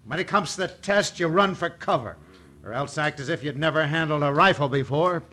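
An actor playing a bullying, hot-tempered gang boss in an old radio western, barking and scornful. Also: male voice, fairly high pitch, expressive, a little fast, sharp tone. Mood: scornful, menacing.

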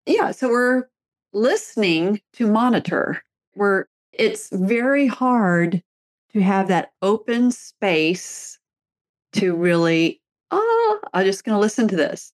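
A woman's voice: medium pitch, big pitch swings, takes it slowly, no echo. Casting: middle-aged woman host, chatty storyteller, dry close recording. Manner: chatty storyteller, laughs easily. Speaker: podcast host, therapist and author. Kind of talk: casual conversation.

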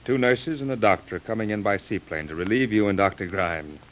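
An actor playing a concerned, commanding friend, earnest and emphatic in 1930s radio drama style. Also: male voice, lowish voice, brisk, fairly bright tone, highs cut off, natural voice. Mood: firm, insistent. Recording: hissy vintage radio recording.

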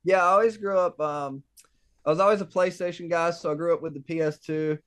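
Hesitant young man, smooth higher voice. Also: fairly even intonation, a little fast, fairly bright tone. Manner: hesitant, many ums and likes. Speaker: guest. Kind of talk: casual chat.

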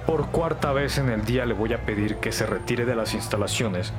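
A man's voice: medium pitch, moderate pace, close to an everyday voice. A man acting a stern, defensive school director in an audio crime drama, stiff, formal and exasperated. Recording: music bed behind the voice.

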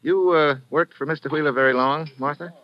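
A man's voice: a little high, a little fast, natural voice. A man playing a wisecracking correspondent. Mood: flirtatious. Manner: wisecracking, flirtatious. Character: wisecracking American foreign correspondent, flirtatious. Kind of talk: 1940s radio acting. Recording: dull old radio recording with music.

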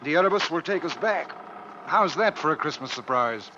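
Hearty naval officer, reassuring, bright forceful voice, slightly high, old radio drama. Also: male voice, expressive. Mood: reassuring, cheerful. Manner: brisk, reassuring, storytelling. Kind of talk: 1950s radio drama acting. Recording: muffled old radio recording, faint noise.

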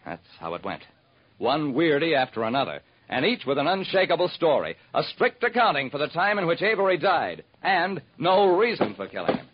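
A man's voice: on the high side, very animated, moderate pace, husky. An actor playing a wry, hard-boiled private detective, narrating his case in clipped old-time radio style. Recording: muffled vintage radio recording.

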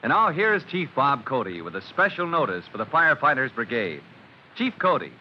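A man's voice: medium pitch, very animated, moderate pace, dull audio. A man with an American accent narrating an old-time radio serial in a theatrical, suspenseful way, on a noisy old recording. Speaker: announcer and narrator.

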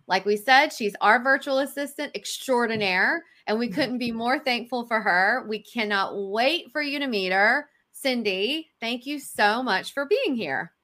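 A woman's voice: on the high side, unhurried, few pauses, sharp tone. A woman co-hosting a podcast in an enthusiastic, chatty way, introducing a guest on a video call. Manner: enthusiastic, chatty, laughs often. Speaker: podcast co-host. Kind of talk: casual podcast chat. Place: home studio, video call.

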